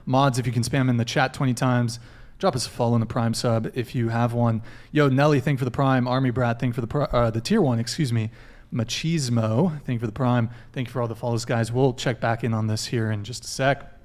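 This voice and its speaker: A man hosting a casual dating podcast, reading shout-outs and joking between plugs. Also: medium pitch, steady tone, brisk. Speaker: podcast host.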